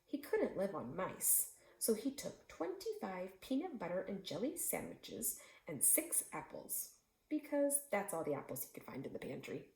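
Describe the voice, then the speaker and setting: A woman reading a children's book aloud expressively, pausing now and then to speak to her listeners. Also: medium pitch, huge pitch swings, darkish tone, roomy sound, low-quality recording. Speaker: read-aloud storyteller for children.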